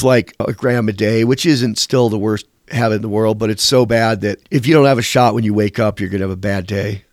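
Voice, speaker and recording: A man's voice: mid-range voice, no echo, clean studio sound. Blunt rambling man telling stories. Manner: blunt, rambling, swears casually. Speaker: musician interviewee. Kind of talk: candid conversational storytelling.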